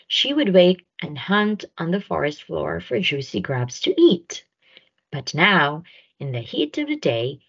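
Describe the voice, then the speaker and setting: Lady doing storytime for kids, slow n expressive, lowish husky voice, muffled lo-fi recording. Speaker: storyteller reading a picture book. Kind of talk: expressive children's read-aloud narration.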